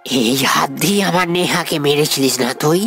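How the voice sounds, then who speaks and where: A man playing the vengeful ghost of a young lover in an animated horror story, speaking obsessively with repeated phrases. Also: fairly high pitch. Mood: menacing, vengeful. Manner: menacing, drawn-out threats with repeated phrases. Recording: music behind the voice. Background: clear music.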